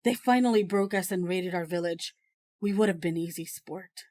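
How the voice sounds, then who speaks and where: An actress playing an earnest, guarded villager from a besieged town in an audio drama. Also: female voice, fairly low pitch, moderate pace, warm tone, natural voice. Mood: grave, reproachful.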